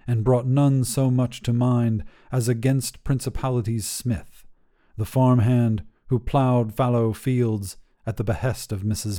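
A male narrator reads literary fiction at an unhurried pace. His voice is soft-edged and warm, with a measured, theatrical sense of storytelling. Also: medium pitch.

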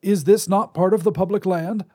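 A man's voice: high voice, steady tone, normal speed, dark tone, slightly rough, voice pushed up. A man voicing an old male wizard with a reedy voice who talks politely and apologetically. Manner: polite, contrite, eager to please. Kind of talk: storybook reading.

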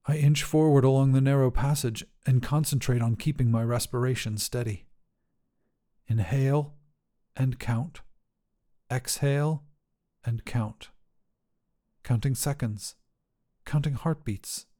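A man narrating first-person fiction as a desperate, oxygen-starved astronaut, in deliberate, intense, measured phrases. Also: medium pitch, steady tone, warm tone. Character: desperate oxygen-starved astronaut telling his own story. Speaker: story narrator.

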